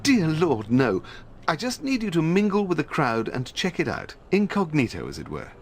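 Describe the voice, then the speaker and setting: An actor playing a dapper, jittery British secret service gentleman in a video game, with a plummy upper-class English accent and fussy politeness. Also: on the high side, wildly swooping pitch, moderate pace. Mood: nervous. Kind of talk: video game cutscene acting.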